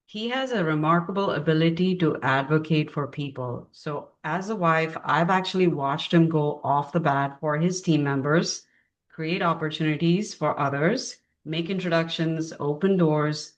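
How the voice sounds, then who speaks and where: A woman in her forties hosting a podcast, introducing her guest admiringly with some fillers. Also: sits low. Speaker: podcast host.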